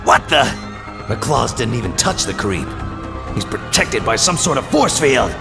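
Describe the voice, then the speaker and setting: A man playing a gruff, short-tempered mutant tough guy with a growling, slangy, confrontational delivery in an audio drama. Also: mid-range voice, big pitch swings, normal speed, loud music behind the voice. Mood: combative.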